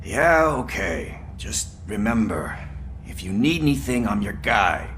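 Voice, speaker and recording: An actor playing a loyal, streetwise drifter and informant in a video game, talking in a casual, joking way. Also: male voice, fairly low pitch, sing-song, unhurried, noisy background. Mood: cocky.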